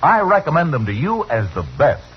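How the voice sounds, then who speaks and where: A man's voice: fairly high pitch, very animated, a little fast, breathy edge, soft background music. A man announcing a 1950s radio commercial in an emphatic, polished sales delivery. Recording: dull, noisy vintage radio recording.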